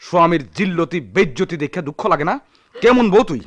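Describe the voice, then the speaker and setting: A man acts out a poor sharecropper husband from rural Bangladesh in a radio drama. His dialect is rustic and his delivery theatrical, with wide swings of pitch. He is loving one moment and hot-tempered the next, recorded in a dry space. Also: lively intonation, brisk, dry recording, close to an everyday voice. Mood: angry, threatening. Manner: theatrical, swinging from murmurs to shouts.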